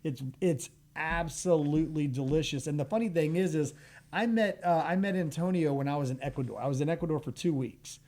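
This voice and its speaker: Male radio guest from Texas, slightly high voice, lively storyteller, restarts a lot, studio mic. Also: fairly quick. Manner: animated storyteller who acts out quoted dialogue, stumbles and restarts. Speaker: wine bar owner, guest. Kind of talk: casual radio interview chat.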